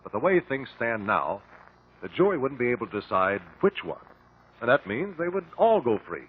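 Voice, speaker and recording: Male actor as calm, precise investigator, 1950s radio drama, animated pitch, deliberate questioning, thin lo-fi broadcast. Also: a little hiss or hum, lightly acted. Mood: reasoning, firm. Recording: muffled lo-fi 1950 radio broadcast.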